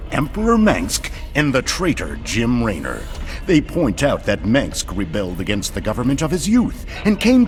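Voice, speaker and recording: A pompous propagandist news anchor from a game, voiced by a male actor. His mid-pitched delivery swoops theatrically, grand and self-righteous, over light music. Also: natural voice. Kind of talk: mock newscast in video game cutscene.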